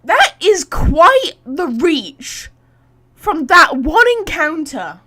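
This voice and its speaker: Bright, animated woman streaming a game. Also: high-pitched, very slow. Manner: blunt, joking, sweary. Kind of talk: casual livestream game commentary.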